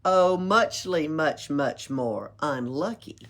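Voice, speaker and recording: A woman's voice: low voice, slow. A woman reading a rhyming picture book aloud at a library storytime, playful, with little asides of her own. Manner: expressive, playful, adds asides and chuckles.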